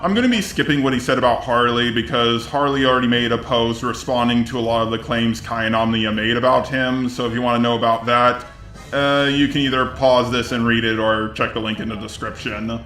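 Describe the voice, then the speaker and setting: A man reads scripted commentary in a measured, conciliatory manner. His voice sits at an average male pitch and sounds roomy and echoing, with faint music underneath. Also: steady tone, fairly bright tone. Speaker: YouTube commentator.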